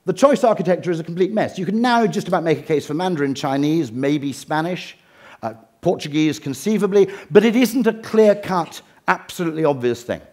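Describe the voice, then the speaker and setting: A man's voice: a little high, lively intonation, a little fast, a little mellow. A middle-aged English man giving a humorous talk, with dry wit and ironic asides. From England. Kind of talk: humorous lecture.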